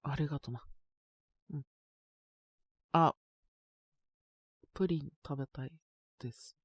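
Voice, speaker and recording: A man playing a sick, stubborn but shy teenage boy in an intimate Japanese audio drama, speaking in a halting, casual, congested mumble. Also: a little high, no echo. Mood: bashful, reassuring. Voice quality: congested cold-sick voice with coughs.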